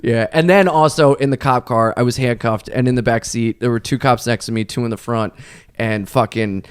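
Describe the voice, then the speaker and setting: A man tells rambling anecdotes on a comedy podcast, often breaking into laughter as he goes. His voice sits at an everyday pitch and is recorded dry and close, with no room sound. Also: moderate pace, clear, resonant tone, dry recording. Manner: laughing through rambling stories. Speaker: comedian guest. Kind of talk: casual podcast storytelling.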